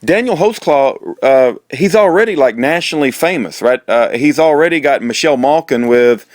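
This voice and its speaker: A man's voice: mid-range voice, expressive, normal speed, no echo. Rambling American man, close dry sound. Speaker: host, prisoner-support activist. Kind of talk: informal, rambling monologue to viewers.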